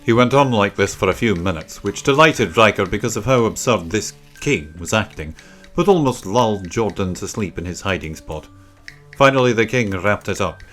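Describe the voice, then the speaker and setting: A man's voice: on the low side, animated, normal speed, a bit bright. A man narrating a children's story from a script. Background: faint music.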